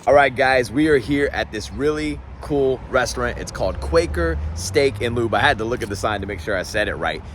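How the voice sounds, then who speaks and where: A man from Florida hosting a casual vlog, excitable and slangy, calling everyone bro. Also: medium pitch, fairly quick, few pauses, a little hiss or hum. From Florida, USA. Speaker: vlogger host.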